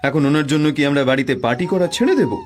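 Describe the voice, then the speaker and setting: A man's voice: on the high side, expressive, racing, soft background music, lightly acted. A man playing a proud, brusque middle-aged father in a cartoon, speaking emphatically. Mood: insistent, callous. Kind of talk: Bengali cartoon voice acting.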